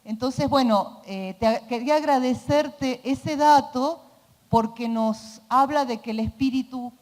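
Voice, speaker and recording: A woman's voice: high-pitched, unhurried, darkish tone. A woman making an earnest, reflective, spontaneous comment from a conference audience. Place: large conference hall. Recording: echoey hall.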